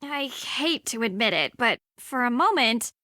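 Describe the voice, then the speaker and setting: A woman's voice: on the high side, lively intonation, unhurried, bright, forceful tone, no room sound, studio quality. A woman voicing a prickly, haughty rival in a video game, sarcastic and grudging in every compliment. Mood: grudging, smug. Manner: sardonic, haughty.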